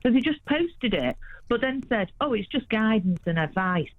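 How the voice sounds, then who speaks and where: A woman's voice: a little high, big pitch swings, moderate pace. A woman giving her opinion as a radio phone-in caller over a muffled phone line.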